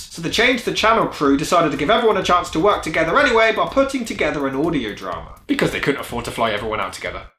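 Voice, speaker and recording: Fast, animated man with a sarcastic edge. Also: on the high side, roomy sound. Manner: sarcastic, snide, blunt. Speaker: reviewer, host. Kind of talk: scripted video review narration.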